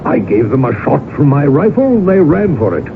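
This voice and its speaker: An actor playing a boastful, genial colonel who spins tall tales in a pompous, hearty radio-drama manner. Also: male voice, on the high side, very animated, a little fast. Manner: pompous, hearty, old-fashioned clubman phrasing. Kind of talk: 1980s radio drama acting. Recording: tinny, noisy old radio broadcast recording.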